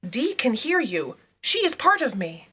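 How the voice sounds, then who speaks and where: A woman's voice: high voice, very animated, a little slow, fairly bright tone, breathy edge, full character voice. A woman playing an unflappable, literal-minded sidekick, speaking precisely and formally in a comic sci-fi audio drama. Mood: matter-of-fact. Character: literal-minded, unflappable female sidekick reporting scan results.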